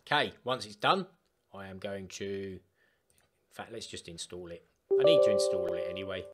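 A man presenting an informal step-by-step software tutorial in an emphatic, instructional way. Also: low-pitched, moderate pace. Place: voice-over for screen recording.

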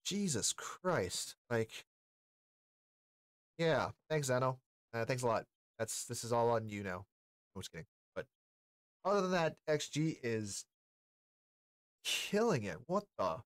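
A man's voice: mid-range voice, big pitch swings, takes it slowly, pauses often, no echo. Casual man reacting, dry close recording. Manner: slangy, informal. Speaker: music reaction host. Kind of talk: casual reaction commentary to camera. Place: small indoor streaming room.

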